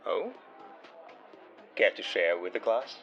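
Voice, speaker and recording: An actor playing a wry, guarded psychic-for-hire with dry, hesitant banter in an audio drama. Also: male voice, sits low, big pitch swings, slow pace, vocal fry, fairly bright tone, breathy edge, dull audio, faint music behind, exaggerated voice. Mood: wry, intrigued. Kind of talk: naturalistic horror fiction podcast acting.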